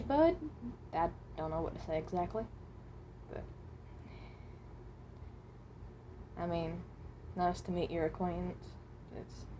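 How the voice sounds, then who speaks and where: A woman voicing a reluctant, resigned animatronic rabbit from another dimension in a fan roleplay, speaking haltingly and correcting herself. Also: lowish voice, mellow, slight background noise, close to an everyday voice. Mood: polite, guarded. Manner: hesitant, halting, self-correcting.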